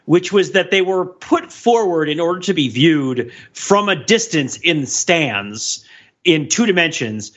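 A man hosts a casual podcast in a fairly high, very lively voice. His tone is bright and forceful, and he digresses freely, tossing in "like" and "right?" as he goes. The recording is slightly dull and lo-fi. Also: a little slow. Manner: animated, digressive, peppers talk with "like" and "right?". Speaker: podcast host. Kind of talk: casual podcast discussion and critique.

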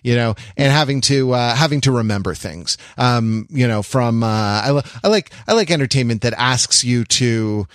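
A man hosting a podcast, talking casually and analytically, halting and digressive as he thinks aloud. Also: medium pitch, animated, fairly bright tone, no room sound, clean studio sound. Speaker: podcast host. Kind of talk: casual analytical discussion of a TV show.

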